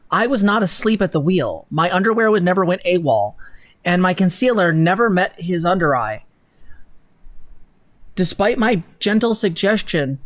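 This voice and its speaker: A young adult co-host reads an article aloud for a livestream, slipping in asides on the text. The sound is dry, with very little room echo. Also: mid-range voice. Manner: breaks off to comment on the text. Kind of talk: dramatic reading with asides. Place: indoor room, livestream set-up.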